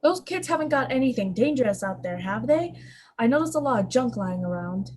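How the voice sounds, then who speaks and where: A woman's voice: a little high, very animated, a little fast, darkish tone, slightly rough. A woman playing an alarmed, impatient father in a radio play, urgent and demanding in manner. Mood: uneasy. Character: Mr. Morris, alarmed and impatient father of a young girl.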